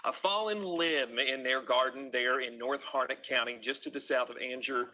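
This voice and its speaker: A man's voice: medium pitch, moderate pace, sharp tone, low-quality recording. A man with an American accent anchoring live breaking news in an unscripted, explanatory way.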